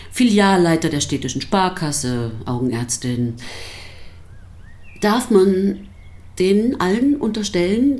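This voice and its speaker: A composed pastor's wife in a radio play. Her voice is low, and she speaks with dry irony and careful discretion. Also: darkish tone. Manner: dry, measured, delicately euphemistic. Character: composed, dryly ironic pastor's wife giving testimony. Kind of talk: German radio drama acting.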